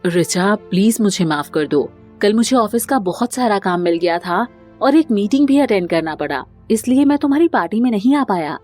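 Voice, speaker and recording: A woman's voice: medium pitch, expressive, moderate pace, darkish tone, faint music behind, close to an everyday voice. A woman voicing an evasive young office man, a placating, defensive boyfriend in a cartoon family drama. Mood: apologetic.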